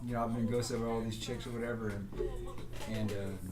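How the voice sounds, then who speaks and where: A man's voice: medium pitch, level tone, fairly quick, echoey room, distant mic, over music. A man telling a long, rambling story on a podcast, casual and full of swearing. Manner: rambling storytelling with frequent profanity. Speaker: podcast guest. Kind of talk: casual chat.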